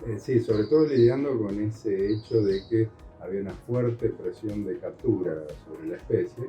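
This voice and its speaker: Dark-voiced Argentine male host. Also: fairly low pitch, normal speed, roomy sound, soft background music. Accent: Rioplatense Argentine Spanish. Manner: friendly, informal voseo. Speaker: host interviewer. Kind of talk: interview questions.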